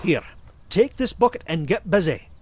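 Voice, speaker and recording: A man acting a gruff, stubborn, hot-tempered gold prospector in a fan-made comic dub. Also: high voice, sing-song, moderate pace, a little hiss or hum. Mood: gruff, bossy.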